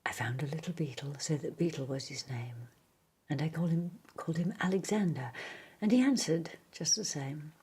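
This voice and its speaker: Low breathy whispering woman. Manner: hushed, slow, soothing whisper. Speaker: reader. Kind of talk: whispered ASMR poetry reading.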